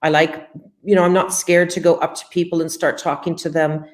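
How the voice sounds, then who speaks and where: A woman's voice: deep voice, level tone, brisk, reverberant. A woman from Canada, the guest, talking casually with lots of fillers and acting out little exchanges. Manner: chatty, many fillers, acts out quoted exchanges. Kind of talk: casual interview chat.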